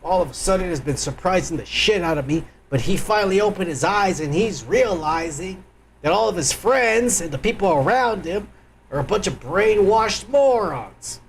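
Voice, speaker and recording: A man with a high, gravelly voice hosts a live stream. He rants with crude, angry profanity, and a faint hiss sits under his voice. His talk is loose and heated political commentary. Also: animated, normal speed, rough recording.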